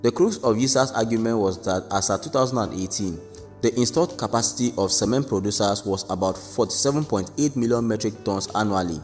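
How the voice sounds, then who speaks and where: A man narrating a scripted news report in a steady, even read-aloud delivery. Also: fairly low pitch, brisk. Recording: long reverb with faint background music.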